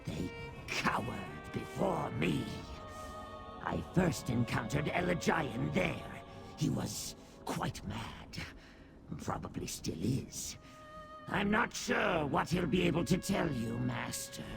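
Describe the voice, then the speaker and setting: A man voicing a small cursed demon in a video game, servile yet boastful, with a gravelly croak and theatrical delivery. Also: mid-range voice, full character voice. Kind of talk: video game character acting.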